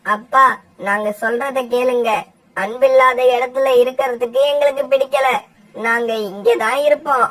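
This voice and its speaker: A woman's voice: sits high, fairly even intonation, moderate pace, fairly bright tone, far from the mic, rough recording. A woman voicing a stubborn, earnest young bird child in a cartoon.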